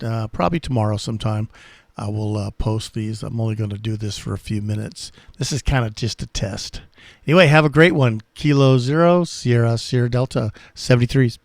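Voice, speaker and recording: A man hosting casual amateur radio contacts, talking in a friendly, halting way. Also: mid-range voice, dry recording. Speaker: amateur radio operator and channel host.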